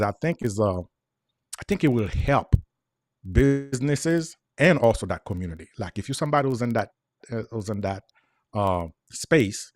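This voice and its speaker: Haitian accent guy, low voice, earnest, repeats himself, dry close mic. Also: dry recording. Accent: Haitian Creole. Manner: earnest, repeats words while explaining. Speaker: guest. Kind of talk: casual interview chat.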